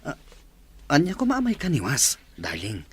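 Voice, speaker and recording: A man playing an easygoing, evasive husband and father with a husky voice in an audio drama. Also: a little high, huge pitch swings, moderate pace, a bit bright, full character voice. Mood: evasive, placating.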